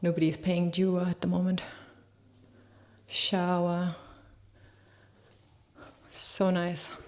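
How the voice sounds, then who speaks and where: Low-voiced enthusiastic woman hosting. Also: completely flat delivery, extremely slow, a little mellow. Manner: enthusiastic, admiring. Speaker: host and interviewer. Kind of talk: casual vlog commentary and interviewing.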